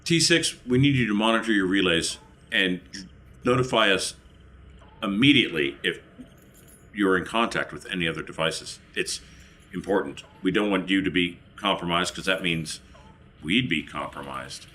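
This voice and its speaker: Male tabletop player, bright forceful tone, lowish pitch, casual in-character chat. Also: moderate pace.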